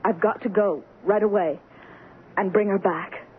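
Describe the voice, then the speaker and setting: An actress playing a fey, anxious young wife with premonitions in a 1970s radio drama, breathless and urgent. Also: female voice, mid-range voice, fairly even intonation, a little slow, close to an everyday voice. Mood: anxious, pleading. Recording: tinny, slightly noisy 1970s radio.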